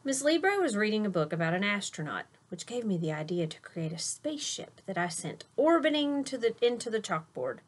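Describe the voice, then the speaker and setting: Woman, medium pitch, teacher, children's storybook read-aloud, expressive, explanatory asides. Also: a little fast, hardly any pauses, slight background noise. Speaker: teacher reading a picture book aloud.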